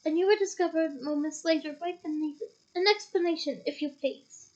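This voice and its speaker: A woman's voice: extremely high pitch, a little fast, a little mellow, dull audio. A woman reading a stern, barking wizard official. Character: stern official wizard, middle-aged man, accusing.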